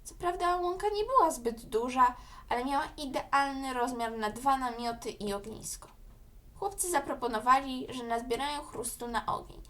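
A woman's voice: high-pitched, normal speed, fairly bright tone. A woman reading scripted narration as the storyteller of a children's audio story.

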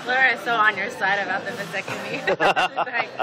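A woman's voice: mid-range voice, a little fast, low-quality recording. A young mother in a casual family chat over dinner, teasing and giggling, recorded on a handheld phone in a supermarket dining area. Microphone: handheld phone camera. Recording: busy store with music playing. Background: store music and shopper chatter.

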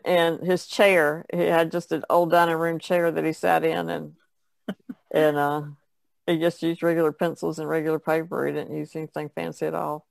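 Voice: medium pitch, vocal fry, dry recording. A person in a casual podcast discussion, retelling things in a matter-of-fact way.

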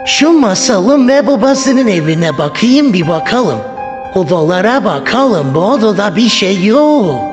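A middle-aged woman playing a menacing old granny villain in a children's skit, with slow, taunting, repetitive threats. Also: medium pitch, sing-song. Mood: nosy, grumbling. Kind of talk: improvised children's family skit. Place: indoors in a home hallway and rooms. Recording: echoey hallway, music behind.

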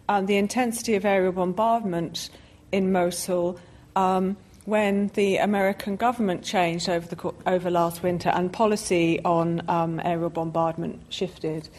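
A woman's voice: mid-range voice, steady tone, measured pace, darkish tone. A woman speaking as an expert on a panel, explaining off the cuff and often repeating words as she goes. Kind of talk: extemporaneous explanatory panel talk. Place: public panel event on a stage.

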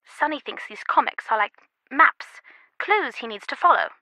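A woman playing an earnest, slightly guarded and loyal confidante in a video game, speaking in a measured way. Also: high-pitched, animated, sharp tone, no echo, poor audio. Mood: calm, informative. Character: earnest, secretive female phone confidante, loyal and concerned.

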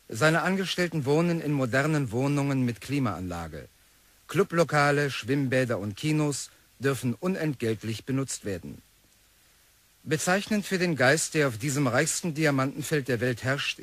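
A man reading out a newspaper report in a 1960s radio play, in a crisp, reportorial manner. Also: mid-range voice, dry recording, voice pushed up, close to an everyday voice. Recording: old radio play recording.

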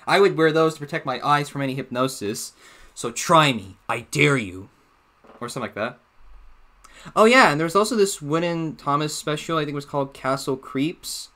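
A man's voice: fairly high pitch, animated, normal speed, pushed and bright. Young guy doing casual reaction talk, rambles and rephrases a lot, recorded at his desk at home. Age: young adult. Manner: rambling, repeats and rephrases himself. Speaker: reaction video host. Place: small room indoors at home.

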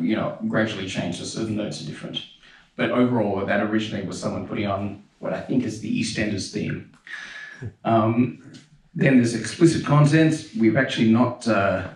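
A young man speaks to a conference audience in a relaxed, rambling way, often restarting his sentences. His voice sits low. He is recorded in a large, echoing concert hall, and the sound is picked up from some distance. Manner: relaxed, chatty, with frequent fillers and restarts. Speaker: pianist giving a keynote talk. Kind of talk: conference lecture with video examples. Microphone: handheld mic. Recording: roomy hall sound, mic heard from a distance.

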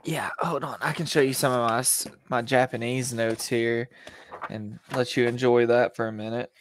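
A man's voice: mid-range voice, fairly even intonation, moderate pace, slight background noise. A man in his thirties co-hosting a podcast over a video call on a headset mic, bantering in an offhand, joking way. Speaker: podcast co-host. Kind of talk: casual chat. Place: home room on a video call.

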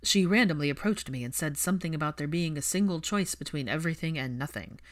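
A woman voicing a reserved, analytical man in a story reading, measured and understated. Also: low voice, natural voice. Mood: thoughtful.

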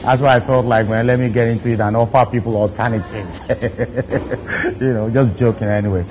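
A Nigerian man in his thirties, a DJ sitting in a studio armchair and talking to camera in a relaxed way, with lots of "you know" fillers. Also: mid-range voice, normal speed, slight background noise. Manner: laid-back, frequent "you know" fillers. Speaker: DJ hosting his own video countdown. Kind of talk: relaxed seated talk to camera. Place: studio set, seated in armchair.

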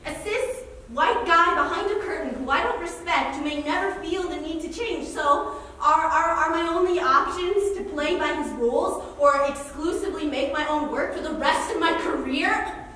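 Woman acting frustrated young theater maker, very high voice. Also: normal speed, sharp tone. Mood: fed up. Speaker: actor in a staged reading. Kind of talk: staged play reading, argumentative monologue. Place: large theater stage, recorded from afar. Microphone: far camera. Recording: echoey theater stage, distant mic, faint noise.

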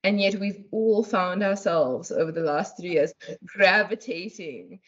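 A woman's voice: lowish voice, unhurried, breathy edge. A young South African woman with a South African accent, a guest on a video call interview, speaking articulately and laughing easily. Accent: South African English. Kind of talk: conversational interview answers. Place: home room, indoors. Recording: dull lo-fi video call audio.

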